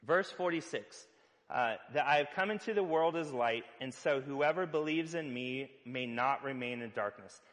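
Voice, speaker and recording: Conversational pastor in a reverberant hall. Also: male voice, mid-range voice, moderate pace. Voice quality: loud voice. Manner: conversational preaching with fillers and playful asides. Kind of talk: sermon.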